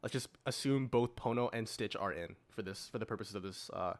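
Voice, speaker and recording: A man's voice: lowish voice, brisk. Casual young man hosting a podcast. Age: early twenties. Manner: informal, organizing the discussion. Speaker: podcast host.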